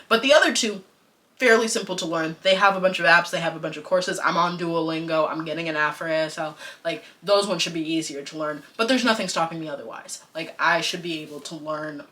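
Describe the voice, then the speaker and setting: Bright-voiced chatty young woman vlogger. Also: deep voice. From United States. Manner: chatty, animated, slangy and self-joking. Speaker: vlogger and podcaster. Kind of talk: casual vlog chat.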